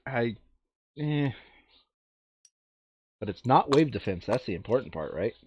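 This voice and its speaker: A man's voice: medium pitch, very animated, a little slow, slightly rough, lo-fi sound. A man running a board game playthrough in casual, crude, joking chat, now and then reading game text aloud. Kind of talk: casual gaming chat with bits of read-aloud flavour text.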